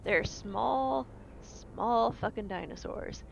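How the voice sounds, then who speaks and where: A woman's voice: fairly high pitch, takes it slowly, gravelly, muffled recording, a little hiss or hum. A woman playing a tabletop roleplaying game, bantering casually with an irreverent, profane streak. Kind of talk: casual bantering in-character play. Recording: live stream with music underneath. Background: music.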